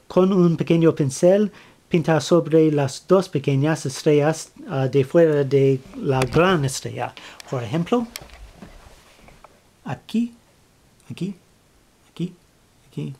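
A man speaking Spanish as a second language, giving a step-by-step craft tutorial, with many fillers, restarts and pauses as he searches for words. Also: a little high, lively intonation, gravelly, dark tone, slight background noise. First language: not Spanish. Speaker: flag-craft tutorial maker. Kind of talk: halting how-to narration.

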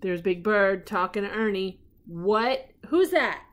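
A woman's voice: mid-range voice, takes it slowly. A middle-aged woman reading a picture book aloud to children at home, with casual, questioning asides. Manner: animated, questioning, child-directed. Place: living room at home.

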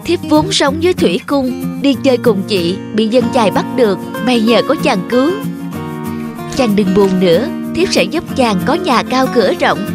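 A woman playing a gentle, grateful young fish spirit maiden in a cartoon folk tale, speaking in a tender, courtly way. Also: on the high side, expressive, normal speed, natural voice. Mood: consoling. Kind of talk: animated folk tale acting. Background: music.

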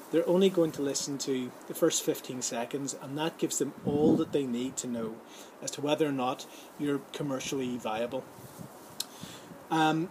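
Middle-aged man explaining, slight outdoor noise. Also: mid-range voice. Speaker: host giving voice-over advice. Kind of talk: informal tutorial to camera. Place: outdoors in a sunny garden or patio. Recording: outdoor ambient background noise.

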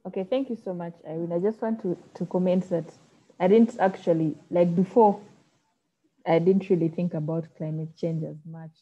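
A woman's voice: on the low side, fairly even intonation, moderate pace, mellow. A woman from Uganda with a Ugandan accent, commenting and asking a question as a participant in an online call. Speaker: audience participant. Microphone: video call. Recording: dull lo-fi video call audio.

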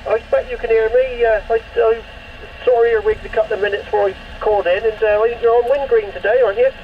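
A man's very high, quick voice comes through a CB radio speaker. It is band-limited and noisy, in the casual style of an operator chatting on air. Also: steady tone, muffled recording. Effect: CB radio transmission. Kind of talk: casual two-way radio chat.

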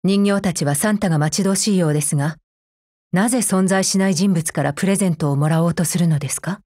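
A woman's voice: fairly low pitch, fast talker, dark tone, no echo, studio quality, natural voice. A woman playing a cold, efficiency-minded female combat android in a mobile game, speaking formally in a clipped, stern, unemotional way. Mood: matter-of-fact, stern. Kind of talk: scripted mobile game character voice lines.

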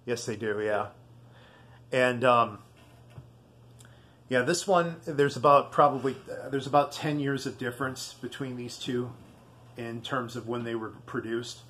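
A man giving a casual product demonstration in a halting, rambling, easygoing way. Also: mid-range voice, a bit bright. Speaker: video host.

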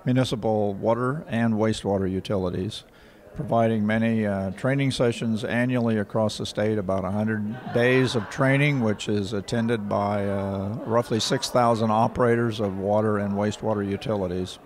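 An older man, answering interview questions in a measured, factual way with frequent pauses. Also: fairly low pitch, fairly even intonation, a little mellow. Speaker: rural water association director. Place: indoor office in front of a painting. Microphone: handheld station mic.

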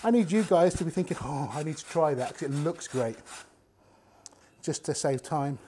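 A man's voice: fairly high pitch, moderate pace, a little hiss or hum. A man, chatting casually to camera as he cooks in a home kitchen. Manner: chatty, relaxed, talks while working with his hands. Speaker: cooking show host. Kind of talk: casual cooking demonstration to camera.

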